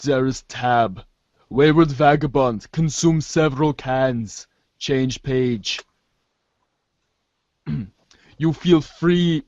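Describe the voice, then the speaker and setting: A man's voice: mid-range voice, drawn-out words, pauses often, a little mellow, no room sound, dull audio. A man reading scripted narration for an amateur reader's theater show, performing a webcomic aloud.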